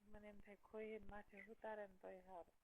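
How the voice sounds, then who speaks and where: A woman's voice: medium pitch, flat delivery, brisk, darkish tone. A woman talking casually and privately on a recorded phone call.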